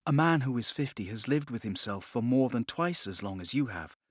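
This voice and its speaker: A calm, reassuring woman doctor in an audiobook, acted out by a male narrator. The delivery is soft and gentle, in a dry close recording. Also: medium pitch, brisk, no echo, natural voice.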